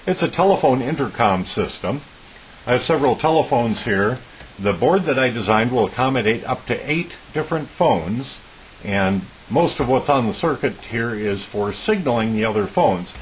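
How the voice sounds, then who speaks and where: A man's voice: mid-range voice, expressive, normal speed. A man giving an informal, methodical technical demonstration as a hobbyist, in a plain-spoken, matter-of-fact way. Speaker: electronics hobbyist presenting a homemade project. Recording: clearly noisy recording with hiss.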